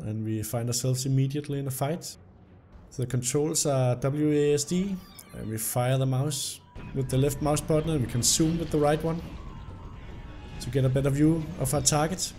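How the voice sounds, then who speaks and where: A young man, slightly hoarse from illness, commenting on a game and reading its story text aloud. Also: medium pitch. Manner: reads the story text aloud in an even narrating tone. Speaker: gameplay video host. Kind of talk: casual gameplay commentary.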